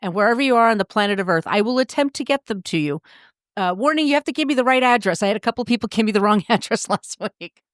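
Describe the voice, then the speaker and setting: A woman's voice: a little high, expressive, fast talker, no echo, clean studio sound. A woman co-hosting a podcast in casual, jokey chat, swearing freely. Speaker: podcast co-host.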